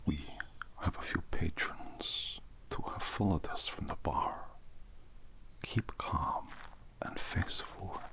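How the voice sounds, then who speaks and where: A man playing a courteous, brooding vampire count in an ASMR audio drama, speaking formally in a gravelly near-whisper. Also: deep voice, full character voice. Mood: calm, guarded. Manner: formal, slow, measured speech. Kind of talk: ASMR roleplay acting. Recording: noisy, night crickets and outdoor ambience.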